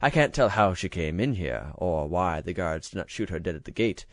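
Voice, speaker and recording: A fussy storybook governess gushes flattery with a swooping lilt, as a man plays her. Dry and close, her praise pours out sweet and fawning. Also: low voice, normal speed, no echo. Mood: gushing. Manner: gushing, flattering.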